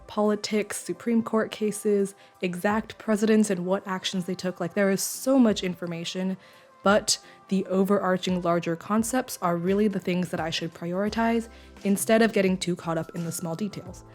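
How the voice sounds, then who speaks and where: A young woman with a slightly croaky voice from a cold, explaining her note-taking methods in a casual voice-over. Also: mid-range voice, a little mellow. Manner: explanatory, rambling with fillers. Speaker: study vlogger. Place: lives in the San Francisco Bay Area.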